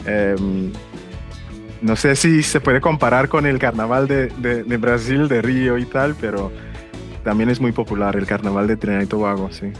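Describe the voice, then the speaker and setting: A man's voice: medium pitch, fairly even intonation, moderate pace, faint music behind. A man hosting a podcast interview, asking questions hesitantly with many fillers and repeated words. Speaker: podcast host. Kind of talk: casual interview conversation.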